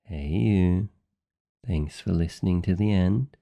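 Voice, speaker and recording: A man's voice: deep voice, takes it slowly, gravelly, warm tone. A British man, an ASMR voice actor, giving a casual sign-off to his listeners.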